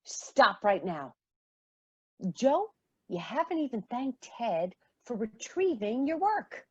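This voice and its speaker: A woman playing a firm mother in a radio play, admonishing in a measured way, with slightly muffled sound. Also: on the high side, big pitch swings, a little mellow, slightly rough, no room sound, exaggerated voice. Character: Marmee, firm mother keeping peace among teenage daughters.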